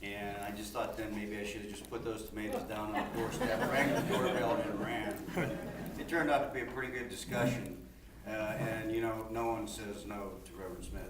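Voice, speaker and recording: Guy with lowish voice doing a casual panel intro, stumbles and repeats, far off in echoey room. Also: steady tone, a loud crowd. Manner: halting, repeats words. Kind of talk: informal spoken introduction with anecdotes. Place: large echoing indoor venue, public forum. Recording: echoey, noisy hall, distant microphone.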